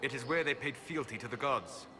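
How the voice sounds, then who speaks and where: An actor playing a grave, secretive elven sage in a video game, solemn and deliberate, with dry disdain. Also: male voice, medium pitch, lightly acted. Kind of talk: video game dialogue acting. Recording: loud music under voice.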